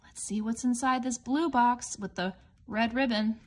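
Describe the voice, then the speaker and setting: A woman reading a picture book aloud to young children, explaining it simply in an expressive, questioning, child-directed manner. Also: on the high side. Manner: expressive, questioning, with gasps and hushed asides; deliberate, child-directed phrasing. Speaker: storybook reader for young children.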